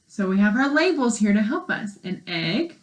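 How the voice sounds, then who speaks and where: A woman's voice: medium pitch, very animated, normal speed. A woman teacher reading aloud to young children and explaining as she goes in simple words, with questions to the listeners. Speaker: elementary school teacher.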